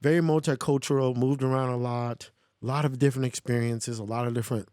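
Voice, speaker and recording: A man with an American accent and a mid-pitched voice tells a personal story in a casual way. He is candid and reflective, and the sound is close and dry. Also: fairly even intonation, quick pace, darkish tone, clean tone, no room sound. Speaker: podcast guest.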